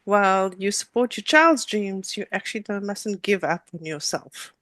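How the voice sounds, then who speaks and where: A middle-aged South African woman tells her story as a guest in an interview. Her voice is bright and clear-cut, at a medium pitch for a woman. The sound is dry and close, and her delivery is conversational. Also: moderate pace, no echo.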